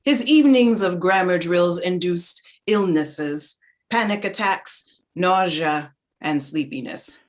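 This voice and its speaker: A woman narrating a staged literary reading in a measured, lyrical, dramatic way. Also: fairly low pitch.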